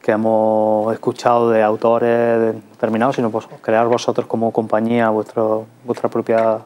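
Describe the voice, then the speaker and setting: A man's voice: on the low side, completely flat delivery, moderate pace, darkish tone. A middle-aged man with an accent from Spain hosting a studio interview in a conversational, hesitant way, often checking with tag questions. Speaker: TV culture show host. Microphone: lapel mic.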